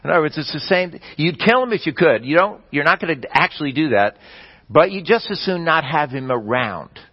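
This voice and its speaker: A man preaching a sermon, explaining scripture in a measured teaching manner with the odd restart. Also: on the high side, expressive, breathy edge, lo-fi sound. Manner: measured teaching delivery with occasional slips and restarts.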